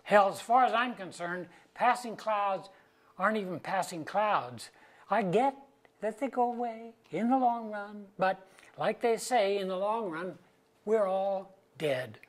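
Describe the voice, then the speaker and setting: A male actor playing an anxious, wry, amorous husband of about sixty in a staged play reading, husky, with a halting, self-mocking delivery. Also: sits high, expressive. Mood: exasperated, wry.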